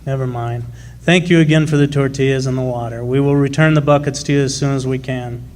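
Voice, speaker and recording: Fair-minded working father, played by a man, reassuring and plain-spoken, medium pitch, radio drama. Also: steady tone, fairly quick, a little mellow, natural voice. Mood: firm, reassuring. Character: steady, fair-minded father, a deported 1917 miner.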